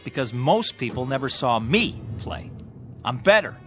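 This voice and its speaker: Brash young pool shark for a radio drama, slangy and pushy, really swoopy delivery, faint music. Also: male voice, medium pitch, very animated, a little slow. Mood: boastful. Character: cocky, obsessed young male pool shark.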